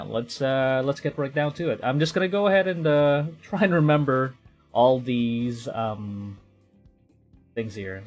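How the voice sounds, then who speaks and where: A young man from the Philippines chatting casually while streaming a game, rambling and correcting himself as he goes. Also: mid-range voice. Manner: rambling, self-correcting, quietly talking to himself. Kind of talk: casual gameplay commentary.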